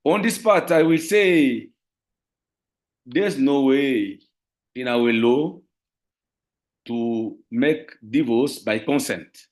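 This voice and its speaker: Man, Congolese French accent, very slow pace, mid pitch, halting careful English, formal conference speaker. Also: expressive. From Democratic Republic of the Congo. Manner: deliberate, halting, careful non-native English. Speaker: lawyer and university teacher, guest speaker.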